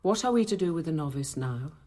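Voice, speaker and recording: An actress playing a discreet, thoughtful convent apothecary nun in a radio drama, quiet and earnest in both narration and dialogue. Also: female voice, sits low, lively intonation, fast, no echo. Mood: concerned. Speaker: narrator and lead character.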